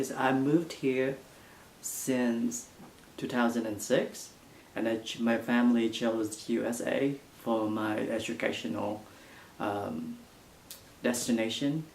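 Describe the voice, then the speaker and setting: A young man from Vietnam with a Vietnamese accent, answering interview questions in halting English full of fillers. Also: on the low side, gravelly, slight background noise. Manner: halting non-native English with frequent fillers. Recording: echoey room.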